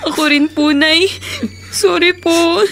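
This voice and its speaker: A woman's voice: very high voice, steady tone, takes it slowly, vocal fry, fairly bright tone, soft background music, full character voice. A woman acting a hurt, apologetic young daughter in a radio drama. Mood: tearful, sorry.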